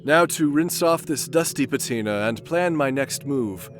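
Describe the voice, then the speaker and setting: A man playing a pompous, bumbling gentleman adventurer in a comedic sci-fi audio drama, with a florid, theatrical way of talking. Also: mid-range voice, lively intonation, unhurried, soft background music, natural voice. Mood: self-assured. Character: pompous, lovesick self-proclaimed gentleman space adventurer, bumbling and boastful.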